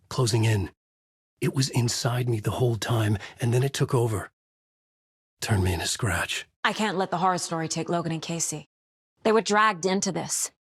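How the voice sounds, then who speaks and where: A haunted male horror novelist in a video game. His voice is low and husky, recorded very dry and close. He delivers brooding noir lines in grave, literary fragments, tormented and desperate. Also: no echo. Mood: pleading, determined.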